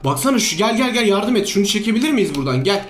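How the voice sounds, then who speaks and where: A man giving playful, excitable game commentary, full of exclamations and repeated commands. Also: high voice, fast. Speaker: gaming video host. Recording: roomy echo, music underneath. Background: background music.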